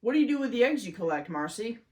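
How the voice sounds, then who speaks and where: A woman's voice: on the high side, big pitch swings, fast, warm tone. A woman voicing a curious, easily amazed boy asking questions in a children's storybook. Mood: curious, impressed. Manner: questioning, wide-eyed storybook dialogue.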